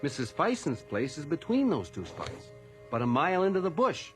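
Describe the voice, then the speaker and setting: Deferential cop in a radio drama, formal n hesitant, pitch moves around, bit of music under. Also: male voice, huge pitch swings, normal speed. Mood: formal, reporting. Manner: formal, hesitant report-giving. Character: dutiful, deferential young male police constable.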